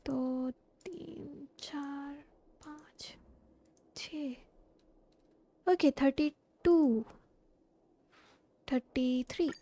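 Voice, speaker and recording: A woman's voice: high voice, steady tone, takes it slowly, long pauses, dark tone, a little hiss or hum. A woman with an Indian accent giving casual live game commentary as a streamer, switching between two languages. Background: game music.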